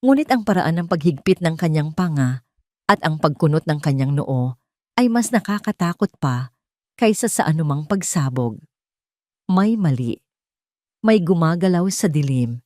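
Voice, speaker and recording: A woman narrating a scripted dramatic love story in a measured, dramatic way. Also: low voice, darkish tone, no echo, clean studio sound.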